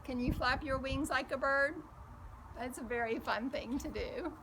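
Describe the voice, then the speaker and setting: Woman for picture-book read-aloud: high voice, unhurried, gently encouraging with little listeners. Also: background noise. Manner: gentle, encouraging, addressing young children directly. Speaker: children's storyteller. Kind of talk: animated storybook read-aloud with questions to young children.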